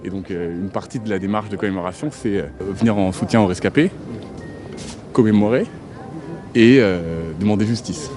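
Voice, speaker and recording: A man in his thirties, raised in France, gives an outdoor interview at a march. His voice sits on the low side, and his phrasing stops and restarts often. Loud music plays behind him. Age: 30s. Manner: halting, with many fillers and restarts. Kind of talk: street interview. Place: outdoors at a city street march.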